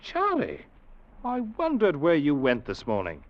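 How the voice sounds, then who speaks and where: An actor playing a loyal, uneasy ship's mate in a 1940s radio sea drama, telling and living a grim tale. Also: male voice, fairly high pitch, huge pitch swings. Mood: wary, surprised. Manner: measured, literary storytelling, urgent in dialogue. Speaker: narrator and actor. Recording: muffled old-time radio recording, music behind.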